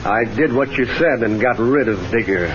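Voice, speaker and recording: A man's voice: mid-range voice, animated, moderate pace, background noise, close to an everyday voice. Man playing curt, bossy Western outlaw chief. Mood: matter-of-fact, insistent. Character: scheming Western outlaw boss, hard and self-assured. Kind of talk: old-time radio Western acting. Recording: muffled band-limited old radio recording.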